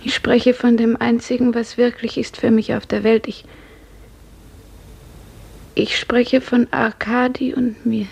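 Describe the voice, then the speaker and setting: A woman playing a dreamy, grief-numbed young serf in a 1950s radio drama, hushed, breathy and mournful. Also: fairly high pitch, level tone, a little slow, pauses often, full character voice. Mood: dreamy devotion. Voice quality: breathy, half-whispered.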